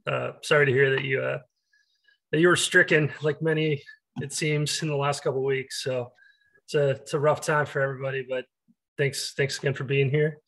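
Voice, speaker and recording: A man's voice: mid-range voice, little pitch movement, brisk, vocal fry, a bit bright. Man facilitating a video call meeting. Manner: encouraging, inviting others in.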